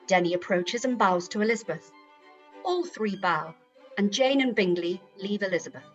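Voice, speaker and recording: A woman's voice: medium pitch, lively intonation, moderate pace, dull audio, soft background music. A woman reading scripted stage directions as the narrator of a period radio play.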